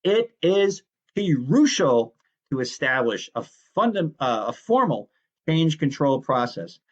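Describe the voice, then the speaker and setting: Male lecturer, fairly high voice, chatty and explanatory, self-correcting, muffled lo-fi sound. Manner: conversational, explanatory, corrects himself mid-sentence. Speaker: instructor. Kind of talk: recorded lecture on project management.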